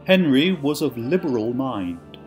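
A man's voice: medium pitch, very animated, measured pace. A man giving a formal ceremonial reading of an old text. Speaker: college official giving a reading. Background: music.

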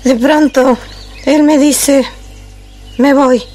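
Woman acting a slow-spoken, melancholy wife, high-voiced. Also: steady tone, mellow, a little hiss or hum. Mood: wistful, resigned. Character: melancholy wife recalling a silent, lonely marriage. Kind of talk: poetic theatrical dramatic monologue. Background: music.

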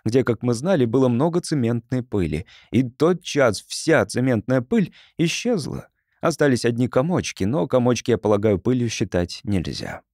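A man's voice: medium pitch, a little mellow, no room sound, studio quality. A man reading a first-person story as an audiobook narrator in a scripted, storytelling style.

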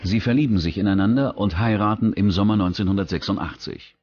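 A man's voice: fairly low pitch, fast, darkish tone, husky, lo-fi sound. A man reading scripted true-crime documentary narration as the narrator. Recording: music bed behind the voice.

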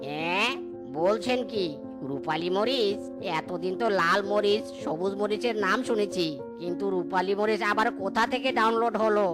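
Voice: medium pitch, normal speed, natural voice. A performer voicing a cowardly, hapless male lover in a cartoon, complaining and comically bewildered. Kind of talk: cartoon voice acting. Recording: faint music behind the voice.

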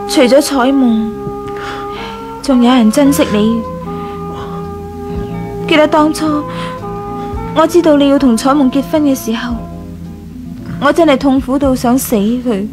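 A devoted young woman in a 1980s radio drama. Her voice is high, tender and coaxing, with a Hong Kong Cantonese accent and music clearly behind it. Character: devoted, soothing young woman secretly in love.

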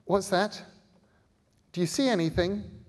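A man's voice: high-pitched, measured pace, pitched above the performer's own voice. A man voicing a frightened, irritable little girl, insistent and outspoken, in a storybook reading. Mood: scared. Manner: insistent, exclaiming. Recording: echoing hall through a PA.

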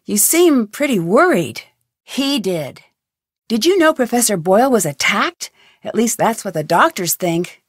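A woman's voice: on the high side, no echo, clean studio sound. An actress voicing a curious young woman detective, asking polite, inquisitive questions in recorded game lines.

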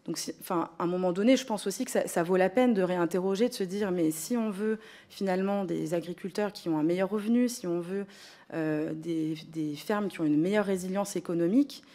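Witness, woman in her 30s, low-ish mellow voice, measured argument, desk mic. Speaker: witness at a hearing. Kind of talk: spoken testimony. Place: parliamentary committee room. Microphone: desk gooseneck microphone.

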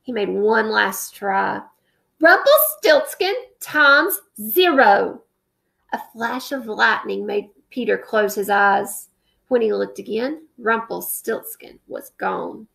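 A woman's voice: mid-range voice, fairly even intonation, takes it slowly, creaky, fairly bright tone. A woman in her thirties, a teacher, reading a picture book aloud with expression and then explaining a math lesson, recorded at home on a webcam. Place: living room at home, indoors. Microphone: webcam at close range.

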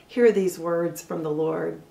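Slow, earnest woman pastor preaching from home. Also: on the low side, creaky, dark tone. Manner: earnest storytelling, slows down for emphasis. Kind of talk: sermon. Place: living room at home, indoors. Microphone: camera a little way off, seated facing it. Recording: roomy sound from a distance.

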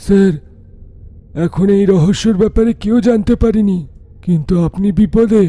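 A man playing a timid, deferential young engineer in an animated horror story, anxious and respectful. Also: high voice, normal speed. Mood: fearful warning.

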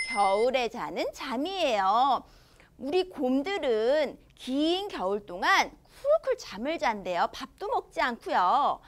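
A woman in her thirties teaches young children English in a studio. Her voice sits high and swoops up and down. She stretches out each English phrase and speaks with an unhurried, kid-friendly cheer. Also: bright, forceful tone. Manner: slow, exaggerated English read-aloud, with cheerful Korean explanations to kids. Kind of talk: children's English lesson, reading a storybook. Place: studio in front of a green screen.

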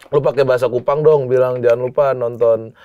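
A podcast host in casual chat, loud and jokey, swearing freely and laughing big. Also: female voice, very deep voice, fairly even intonation, a little fast, muffled recording. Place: small restaurant-style studio set, indoors.

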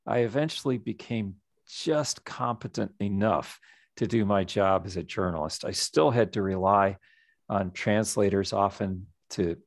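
A middle-aged man speaks in a low, gravelly voice, recounting his path in a calm, reflective way. The sound is dry and close, as on a video call. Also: dry recording. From United States. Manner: reflective storytelling, measured. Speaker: nonprofit executive director. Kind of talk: presentation over video call.

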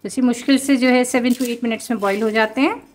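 A woman's voice: on the high side, steady tone, brisk, slight background noise. Chatty woman demonstrating a home recipe. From Pakistan. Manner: chatty, explains step by step with many fillers. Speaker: cooking show host.

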